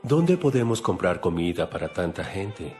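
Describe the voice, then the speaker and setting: A calm, authoritative holy teacher in a dramatized scripture recording. The voice sits fairly low and moves expressively, with solemn, measured phrasing. Faint music runs underneath. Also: male voice. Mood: calm, instructing. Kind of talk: dramatized audio Bible acting.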